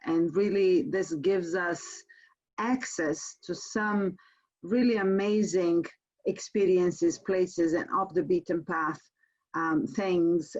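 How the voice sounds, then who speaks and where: A woman from Croatia with a Croatian accent gives a webinar sales presentation as a tour company presenter, speaking haltingly with frequent pauses. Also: fairly low pitch, darkish tone. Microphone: online webinar call. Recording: muffled, band-limited webinar call audio.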